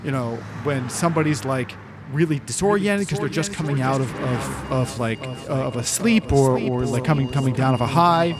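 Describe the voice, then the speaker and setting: A man's voice: mid-range voice, moderate pace, hardly any pauses, background noise. A man playing a tabletop game, chatting casually and searching for his words as he goes. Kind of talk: casual chat.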